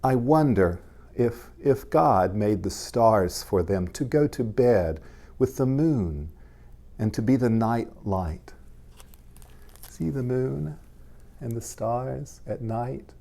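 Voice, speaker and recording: A man, a pastor, reading aloud to young children in gentle, simple language and addressing them directly. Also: medium pitch, animated, takes it slowly, creaky.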